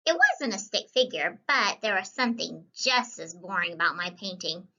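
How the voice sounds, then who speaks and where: A woman reading a children's story as a whimsical, wisecracking young student painter, with animated delivery and playful, wry asides. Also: medium pitch, moderate pace, highs cut off, heavy background noise. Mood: playful, chatty. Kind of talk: scripted children's read-aloud.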